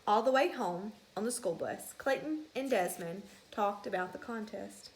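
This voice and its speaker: A woman narrating a children's storybook in an expressive, deliberate and emphatic way. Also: medium pitch, slight background noise, poor audio.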